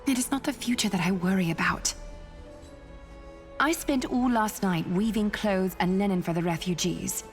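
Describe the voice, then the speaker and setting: Actress as a solemn, weary, mid-pitched woman. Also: moderate pace, soft background music, natural voice. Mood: weary resolve. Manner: calm, grave, formal. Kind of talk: video game voice acting.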